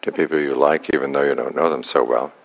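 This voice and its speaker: A man leading a guided meditation as a teacher, speaking calmly and unhurriedly in short phrases with long pauses. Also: extremely low pitch, vocal fry, lo-fi sound.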